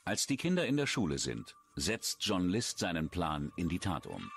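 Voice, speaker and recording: A man's voice: low voice, wildly swooping pitch, moderate pace, creaky, noisy background. A man reading scripted true-crime documentary narration as the narrator. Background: music.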